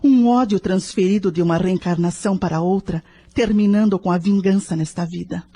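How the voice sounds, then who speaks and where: Woman playing a husky, troubled Brazilian mother. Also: medium pitch, fairly quick, warm tone, lightly acted. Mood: earnest, grave. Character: anguished, brooding mother of adult twin sons. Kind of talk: audio drama acting.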